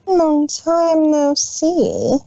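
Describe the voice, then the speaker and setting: A man performs a cold, haughty pony mare, the cruel older sister of a storybook tale. The voice is pitched up and swoops widely, drawing out each scornful word with slow contempt. It sounds dark and slightly muffled, in a dry recording. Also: wildly swooping pitch, vocal fry, dry recording, exaggerated voice. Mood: icy, mocking. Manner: contemptuous, drawn-out, scolding.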